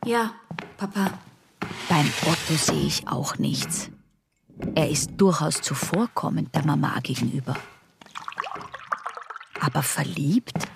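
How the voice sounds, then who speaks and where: A woman's voice: deep voice, sing-song, slow, creaky, pauses often, noisy background, heavily acted. A woman playing an observant, defensive young daughter in a radio drama, in a wry, intimate inner monologue. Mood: skeptical musing.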